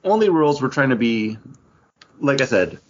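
A man's voice: medium pitch, big pitch swings, normal speed, a little hiss or hum. A man hosting a podcast in a casual, conversational way. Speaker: podcast host. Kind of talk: casual chat about comic books. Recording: dull, lo-fi podcast audio.

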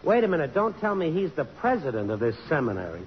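An actor playing a cocky, wisecracking press photographer, breezy and sardonic, in 1950s radio drama style. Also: male voice, fairly high pitch, very animated, fast. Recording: muffled, noisy old radio recording.

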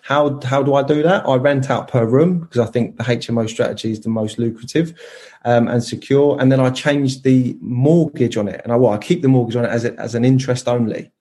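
A man's voice: medium pitch, brisk, warm tone. A man in his thirties, a podcast guest explaining his plans in casual chat, eager and full of restarts. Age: 30s. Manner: enthusiastic, many restarts and fillers.

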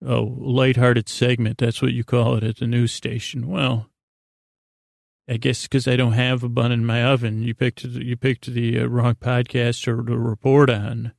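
A man hosting a sleep podcast with a rambling, improvised monologue, halting and circling back on himself in an unhurried, lulling way. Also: mid-range voice, gravelly, darkish tone, no room sound, studio quality. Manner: halting, meandering, self-interrupting, with trailing repetitions. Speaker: podcast host.

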